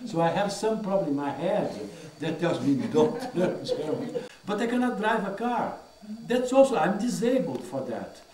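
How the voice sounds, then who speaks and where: A man who is not a native English speaker, talking informally and personally in a halting, repetitive, emphatic way. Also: on the high side, a little mellow. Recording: echoey room with background noise.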